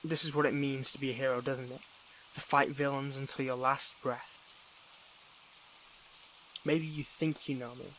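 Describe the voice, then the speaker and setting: A man playing a weary, defiant young superhero in a dramatic audio roleplay, speaking haltingly and broodingly to the listener. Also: medium pitch, level tone, a bit bright, slight background noise, natural voice, poor audio. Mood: resigned, wry.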